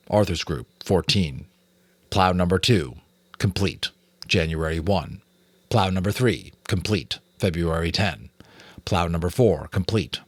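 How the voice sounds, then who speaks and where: A man's voice: low voice, slightly rough, dry recording, clean studio sound. A man with an American accent, reading a story aloud in dramatic audiobook narration.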